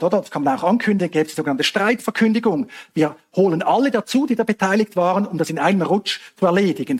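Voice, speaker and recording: A man's voice: fairly high pitch, a little mellow, slightly rough. A man from Switzerland, answering audience questions after a talk in an explanatory way. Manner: explanatory, hedging asides, frequent fillers.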